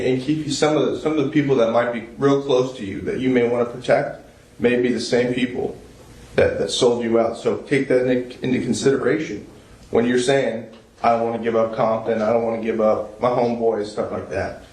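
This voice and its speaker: A man's voice: mid-range voice, level tone, a little fast, a little mellow. Male interrogator, middle-register voice, careful persuasion, captured in an echoing room. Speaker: police interrogator. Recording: echoey, distant room audio.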